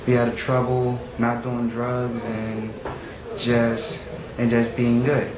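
A man's voice: mid-range voice, completely flat delivery, takes it slowly, a crowd in the background. A young man speaking earnestly to camera about his community, often repeating himself. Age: young adult. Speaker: youth program member. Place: small room against a plain wall. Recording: echoey room with background noise.

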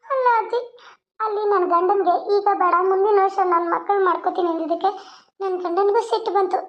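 A woman's voice: very high-pitched, fairly even intonation, brisk, highs cut off. Woman doing a stubborn young wife, North Karnataka accent. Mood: defiant. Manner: defiant, whiny.

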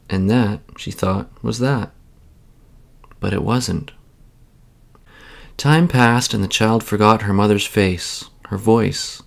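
A man narrating a children's bedtime story in a measured, expressive read-aloud style. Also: on the low side, gravelly.

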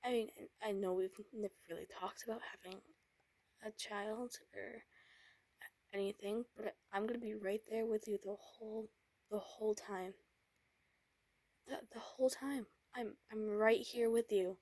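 Brief: a woman as a tender young boyfriend, breathy whisper, slow and halting, dry close sound. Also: mid-range voice, steady tone, no room sound. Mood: tender reassuring. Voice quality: soft, breathy whisper with nervous stammers. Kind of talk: whispered romance roleplay.

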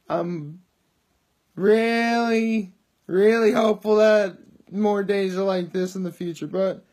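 Upbeat high-voiced man with a loud voice. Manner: chatty, upbeat, rambling with many asides. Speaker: vlogger. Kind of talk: casual daily video diary. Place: living room, indoors. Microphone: phone camera held at arm's length.

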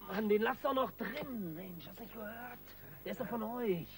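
An actor playing a gruff, vengeful bank robber on the run, cursing and slangy, in a radio crime drama. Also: male voice, high voice, normal speed, a crowd in the background, natural voice. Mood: irritated.

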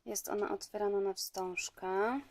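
Woman crafter, mid-pitched voice, reads a storybook tale with casual asides. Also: steady tone, unhurried, a little mellow, slight background noise. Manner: storybook reading voice that slips into plain chatty asides. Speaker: craft maker presenting her handmade album. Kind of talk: reading a rhymed fairy tale aloud, then casual show-and-tell.

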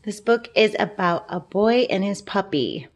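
A woman reading a children's picture book aloud, gently, with asides and questions to young listeners. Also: medium pitch, animated, unhurried. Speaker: storybook reader.

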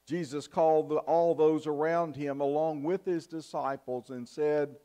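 An older man preaching a sermon in a church, in a measured, earnest style with emphatic pauses. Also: fairly high pitch, steady tone, mellow. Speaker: pastor. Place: church sanctuary, at the pulpit. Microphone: fixed camera from the back of the church.

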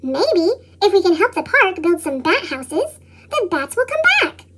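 A woman voicing an eager, chattering little girl from a storybook, through a pitched-up chipmunk effect. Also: sing-song, unhurried, very noisy, full character voice. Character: excitable little girl who loves bat facts.

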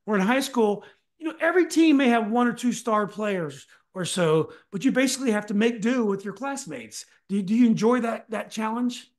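Middle-aged man hosting, halting questions. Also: high voice, animated. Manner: halting, restarts questions often. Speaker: podcast host. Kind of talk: casual interview over video call. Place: home study with bookshelves. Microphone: desk mic on video call.

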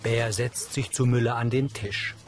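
Man, audio description narrator, measured, matter-of-fact, medium pitch, music behind. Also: steady tone. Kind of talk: scripted narration of a TV film for blind listeners.

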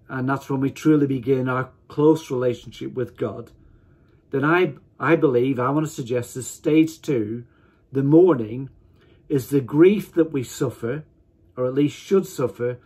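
Husky middle-aged male preacher. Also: medium pitch, warm tone. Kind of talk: informal sermon to camera. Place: small attic room at home, indoors.

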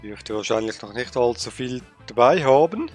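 A man with a cold, thinking aloud with many pauses and fillers while commenting on a game he plays. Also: mid-range voice, expressive, darkish tone, soft background music. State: has a cold. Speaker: let's play gamer. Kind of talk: casual gameplay commentary.

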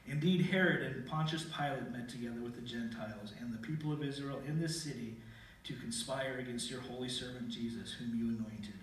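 A man's voice: mid-range voice, brisk, slight background noise. A middle-aged man preaching a sermon and reading scripture aloud with earnest emphasis in a church sanctuary. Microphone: pulpit mic, filmed from a far camera. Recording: very echoey hall from far off.

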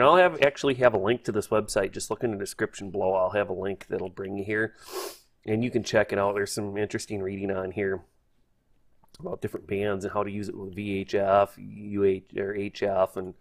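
Low-voiced man giving a casual tutorial. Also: fairly even intonation, normal speed. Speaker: host, hobbyist instructor. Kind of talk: casual spoken tutorial over screen.

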